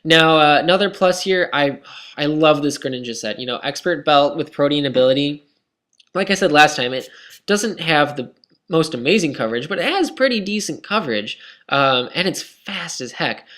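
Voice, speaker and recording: A man's voice: on the high side, expressive, moderate pace, fairly bright tone. A young man commentating his own online game match in a casual, self-critical, rambling way, his voice tired and scratchy. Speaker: gaming commentator.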